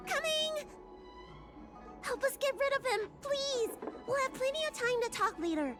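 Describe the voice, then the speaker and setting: A very high, lively child's voice for an anime game. She is a stubborn, excitable dragon girl, indignant and emphatic. Game music plays clearly behind her. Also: measured pace. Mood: urgent.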